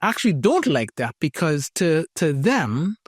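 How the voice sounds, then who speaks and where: A man's voice: on the high side, very animated, unhurried, husky, no room sound, clean studio sound. A man from Trinidad hosting a podcast interview, speaking thoughtfully with hesitant restarts. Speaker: podcast host. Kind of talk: conversational interview.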